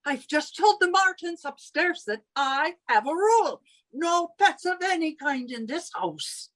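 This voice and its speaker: A woman's voice: very high voice, lively intonation, a little slow, fairly bright tone, full character voice. A woman acting in an old-time radio comedy as a strict, indignant landlady with an emphatic manner. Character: strict, indignant landlady who forbids pets.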